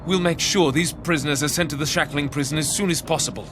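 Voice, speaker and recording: A man's voice: a little high, fast talker, natural voice. A man voicing a dutiful guard captain in a video game, speaking soberly and formally. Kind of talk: English video game voice acting. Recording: music behind the voice. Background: game music.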